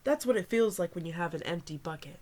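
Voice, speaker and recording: A woman reading a picture book aloud as a teacher to young children, pausing for asides to her listeners. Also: fairly low pitch, expressive, a little mellow, a little hiss or hum.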